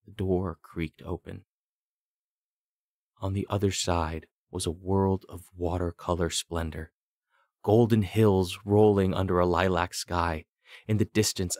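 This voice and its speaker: Male narrator, deep voice, deliberate, dramatic, fantasy audiobook, very dry close sound. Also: no echo. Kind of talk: scripted fantasy story narration.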